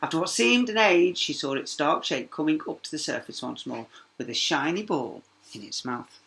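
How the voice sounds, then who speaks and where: A man's voice: a little high, animated, moderate pace, creaky, a bit bright. A man narrating a children's story expressively as a teacher, with small asides to young listeners.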